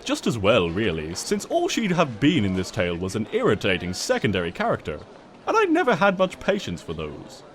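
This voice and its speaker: A man's voice: on the low side, huge pitch swings, brisk, slight background noise. A man narrating a comic story in a theatrical, smug and wry manner.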